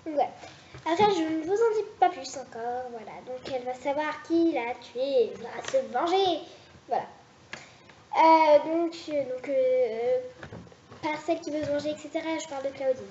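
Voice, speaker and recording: A high-voiced woman with a soft, mellow tone rambles through her story pitches, pausing and restarting often. The sound is roomy and a little dull, with a faint hiss underneath. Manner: hesitant, rambling, many restarts. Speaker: web series creator. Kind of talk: casual vlog pitching story ideas.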